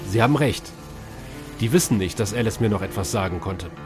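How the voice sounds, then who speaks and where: Grieving, determined hero played by an actor. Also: male voice, fairly low pitch, brisk, over music, close to an everyday voice. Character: grieving, determined male hero seeking revenge. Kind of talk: German audio drama acting.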